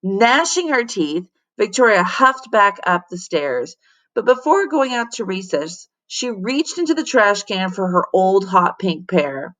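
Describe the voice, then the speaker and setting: A woman reads a children's storybook aloud as a teacher would to a class. Her pitch sits in the middle, and her delivery is that of an expressive storyteller. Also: unhurried.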